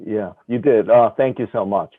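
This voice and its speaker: A man at the front of a town panel introducing the next guest in a low, dry-sounding voice, halting, slipping in a joke. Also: husky, dry recording, narrow, tinny audio. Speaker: event moderator introducing speakers. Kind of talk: hosting a community discussion, introductions.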